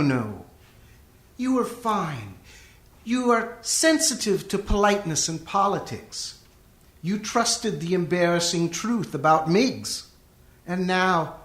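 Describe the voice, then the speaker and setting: A man's voice: a little high, animated, slow pace, a bit bright. A man playing a creepy, courteous imprisoned cannibal in a theatrical radio comedy. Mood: condescending. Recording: echoey room.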